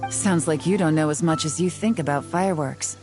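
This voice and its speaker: A woman voicing a low-voiced self-assured heroine. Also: brisk, music in the background, natural voice. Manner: assured, lecturing, wry. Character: confident sharp-witted young woman from a trading harbor. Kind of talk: video game voice acting.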